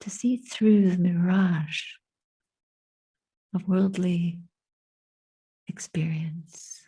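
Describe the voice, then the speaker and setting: A woman giving a guided meditation and reflection as a Buddhist teacher, speaking softly and breathily in measured, contemplative phrases with long pauses. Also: on the low side, steady tone. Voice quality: soft, breathy.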